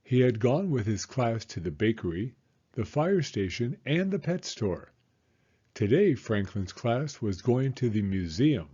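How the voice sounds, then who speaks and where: A man reading a children's storybook aloud in an expressive, deliberate storytelling manner. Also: medium pitch, breathy edge, lo-fi sound. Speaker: storybook reader. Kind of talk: children's read-aloud narration.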